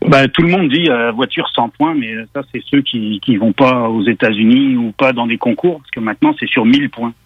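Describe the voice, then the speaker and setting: A man's voice: mid-range voice, a little fast, hardly any pauses, husky, dry recording, dull audio. A man with a Parisian French accent, a car magazine editor explaining at length as a radio guest, with many hesitations.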